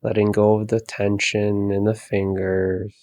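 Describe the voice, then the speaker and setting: Male meditation guide, low and level, unhurried delivery, dry close sound. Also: no echo. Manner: slow, soft, calm instructions with long pauses.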